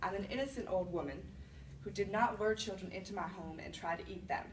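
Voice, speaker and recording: A woman playing an indignant old widow accused of being a witch, telling her side of a fairy tale in a conversational, halting monologue. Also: medium pitch, lightly acted. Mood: explaining, aggrieved. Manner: conversational, self-justifying, with halting restarts. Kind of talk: first-person storytelling monologue. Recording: roomy, distant mic with faint noise.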